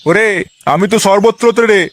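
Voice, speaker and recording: A man's voice: high-pitched, brisk, slight background noise, natural voice. A man voicing a gluttonous, bossy, foul-mouthed uncle in a crude, boastful comedy cartoon. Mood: smug, threatening. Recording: loud music bed with bird chirps.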